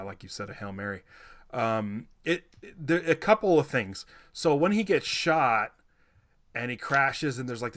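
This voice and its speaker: Casting: man, mid-pitch, easygoing podcast co-host, dry close mic. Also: a bit bright, dry recording. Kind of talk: casual movie discussion.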